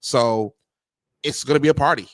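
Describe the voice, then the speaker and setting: A man co-hosting a casual livestream, relaxed and repetitive, hyping up his co-host. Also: medium pitch, normal speed, no room sound. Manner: relaxed, repeats himself, warm hype-man talk.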